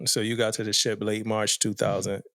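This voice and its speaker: A man's voice: on the low side, flat delivery, brisk, fairly bright tone. A male podcast host asks short, plain questions. He keeps his replies brief, murmuring along as the guest talks. His voice is dry and close, with no room echo. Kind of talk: podcast interview.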